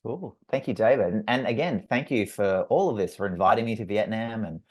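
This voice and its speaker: A man's voice: fairly low pitch, a little fast, a little mellow. A man from Australia with an Australian accent, a facilitator introducing himself on a webinar in a friendly, upbeat way. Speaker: facilitator and trainer. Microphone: video call.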